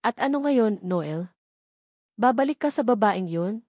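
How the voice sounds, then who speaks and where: A woman's voice: mid-range voice, expressive, measured pace, mellow, no room sound, clean studio sound. A woman playing a haughty, wealthy older mother in an audio drama, irritated and condescending toward her grown son. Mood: scornful anger.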